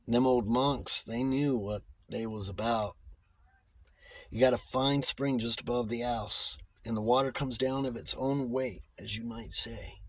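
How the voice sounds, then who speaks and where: A man puts on an old country shopkeeper with a rough, husky edge. He is talkative and eager to sell, in loose rustic grammar with halting repeats. It is storybook character reading at an unhurried pace. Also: medium pitch, no echo. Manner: folksy, ungrammatical, halting repetitions. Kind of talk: audiobook character voice.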